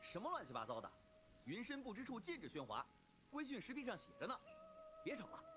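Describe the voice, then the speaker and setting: An actor playing a brash, scolding teenage boy disciple in an animated drama, blunt and exasperated. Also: very high-pitched, quick pace, heavily acted. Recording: music behind the dialogue.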